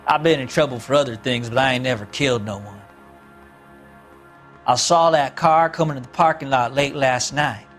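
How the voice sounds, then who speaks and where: A sly country drifter with a rural American accent, played by a man, drawling folksy half-answers in a game interrogation. His voice sits at an ordinary male pitch, evasive and unrepentant, with music underneath. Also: moderate pace, fairly bright tone, natural voice. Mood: defensive. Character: sly unrepentant small ex-convict drifter suspect, farm boy. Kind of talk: video game interrogation acting.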